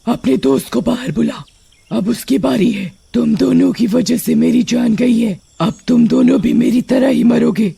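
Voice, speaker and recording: A performer voicing a vengeful, menacing female witch ghost with a creaky, gravelly voice in a horror cartoon story. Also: mid-range voice, sing-song, normal speed, mellow, exaggerated voice. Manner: threatening, commanding. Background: music.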